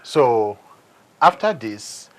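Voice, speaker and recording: A man's voice: medium pitch, big pitch swings, extremely slow, fairly bright tone. A man with a Nigerian accent talking casually as an interview guest, repeating phrases for emphasis. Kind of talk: casual conversation.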